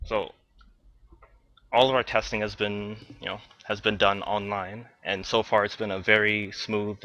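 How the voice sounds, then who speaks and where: A man, a game developer, casually walking viewers through software on a livestream, with lots of "you know". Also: on the low side, no echo, highs cut off. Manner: loose, filler-heavy demo walkthrough, lots of "you know".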